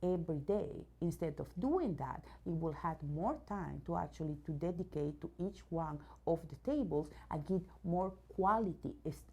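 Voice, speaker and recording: Low-voiced Venezuelan-born woman, halting speech. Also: lively intonation, a little mellow, slightly rough. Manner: many fillers and restarts, searching for words; enthusiastic about her subject. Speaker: robotics company CEO, guest. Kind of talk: news interview.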